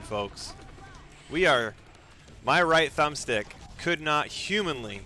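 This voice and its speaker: A man's voice: a little high, pushed and bright, a little hiss or hum. A man giving live speedrun commentary as he plays, narrating his own moves, repeating phrases and using mild exclamations instead of swear words. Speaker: video game streamer and speedrunner.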